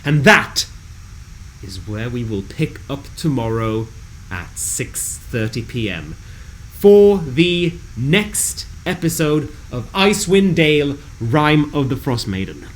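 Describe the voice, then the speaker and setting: A man reading a scripted fantasy game recap in a dramatic, measured storytelling delivery. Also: medium pitch, lively intonation, low-quality recording.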